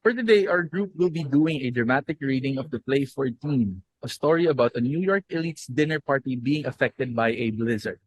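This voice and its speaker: A young man narrating the stage directions of a play in an even, descriptive reading. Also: mid-range voice, a little fast, hardly any pauses, husky, low-quality recording. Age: young adult. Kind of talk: scripted narration.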